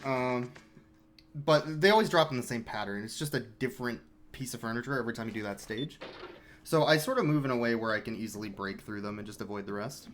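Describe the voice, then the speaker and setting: A man giving relaxed, chatty live commentary on his speedrun, explaining strategy as he plays. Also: mid-range voice, fast talker, slight background noise.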